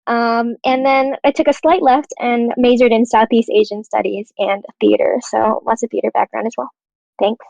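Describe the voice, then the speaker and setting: A woman with a high voice speaks casually as a panel guest. The sound is dry and a little dull, like a lo-fi microphone. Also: fairly even intonation, normal speed, vocal fry, a little mellow, dry recording. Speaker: writer and actor, panel guest. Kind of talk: casual self-introduction.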